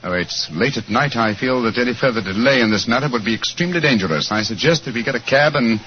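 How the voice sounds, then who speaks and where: A keen, self-assured detective, voiced by a man, laying out his reasoning crisply and precisely. His delivery rises and falls with lively expression. It is a 1940s radio drama recording, muffled and lo-fi with a faint hiss underneath. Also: mid-range voice, fast talker, lightly acted. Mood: deducing. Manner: crisp, precise, lecturing deductions.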